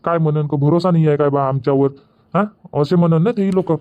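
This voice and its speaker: A man's voice: on the high side, fast, clear, resonant tone, close to an everyday voice. A man playing plain-spoken village orange farmers in a cartoon comedy, chatting colloquially with a Varhadi Marathi accent from Vidarbha. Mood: earnest. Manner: colloquial, repetitive rustic chatter. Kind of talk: dubbed cartoon comedy dialogue. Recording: dull lo-fi audio.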